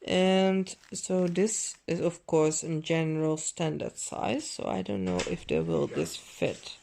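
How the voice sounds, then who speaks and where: Woman with gravelly fry, rambling haul chat. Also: low voice, lively intonation, dark tone, slight background noise. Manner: rambling, self-correcting, non-native phrasing; deliberately overdone mixed accent. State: dry throat. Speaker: fashion vlogger. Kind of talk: casual unboxing haul chat. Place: home living room.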